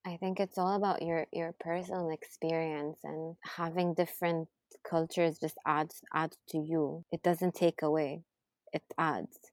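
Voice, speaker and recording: A woman from Saudi Arabia in a casual podcast conversation, reflective and soft-spoken, often drifting quieter. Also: low voice, little pitch movement, a little slow, no room sound. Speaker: podcast guest.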